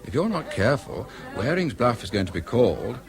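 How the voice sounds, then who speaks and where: An actor playing a shrewd English official in a British radio drama, musing and insinuating in a conspiratorial way. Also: male voice, medium pitch, very animated, fairly quick, crowd noise, close to an everyday voice.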